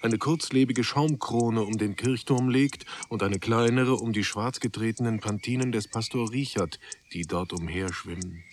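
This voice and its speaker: Low-pitched male radio drama narrator. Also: normal speed, few pauses, a little hiss or hum. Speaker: second narrator.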